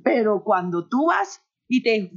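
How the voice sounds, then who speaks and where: A middle-aged woman from Colombia speaks with a lightly neutralized Caribbean coast accent at a medium pitch. She is talkative and expressive, telling personal anecdotes in an interview. The sound is dull and lo-fi, as over an internet call. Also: slow pace. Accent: Colombian Caribbean coast, somewhat neutralized. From Barranquilla, Colombia. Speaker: interview guest, radio host by profession.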